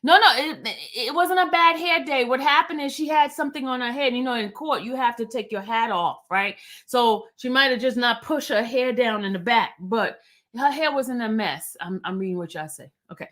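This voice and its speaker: A New woman hosts a casual livestream in an animated, chatty way, often wandering off topic and answering her viewers directly. Her voice sits somewhat high shows. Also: normal speed. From New York. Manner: animated, chatty, digressive, talks straight to chat. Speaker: YouTube livestream host. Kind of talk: casual livestream chat reading viewer comments.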